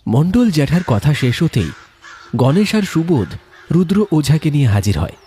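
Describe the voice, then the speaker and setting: A man's voice: on the high side, sing-song, moderate pace, dark tone, breathy edge. A man narrating a scripted horror story in a dramatic, suspenseful storytelling manner. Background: music.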